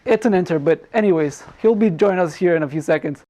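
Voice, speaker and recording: A man's voice: high-pitched, quick pace, warm tone. A man hosting a comedic talk show in a casual, joking way, often repeating himself.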